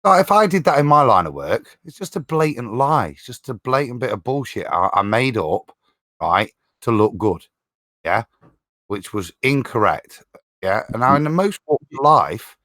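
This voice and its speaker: A man on a discussion panel, talking casually in a halting, circling, indignant way. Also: medium pitch, sing-song, a bit bright, dry recording, studio quality. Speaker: panelist on a live show.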